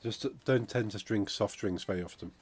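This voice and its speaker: A man, croaky from a cold, chatting casually on a game dev stream and reading out chat. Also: fairly low pitch, moderate pace. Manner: rambling, chatty, reads out chat messages. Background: funky music playing.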